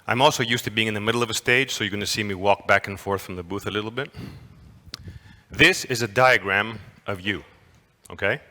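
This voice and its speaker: A man gives a lively lecture from a stage, playful and engaging as he quizzes the crowd. His voice is bright and forceful, set fairly low. The sound rings with the long reverb of a large hall. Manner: playful, engaging, asks the audience questions. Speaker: conference lecturer. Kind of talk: interactive lecture to an audience.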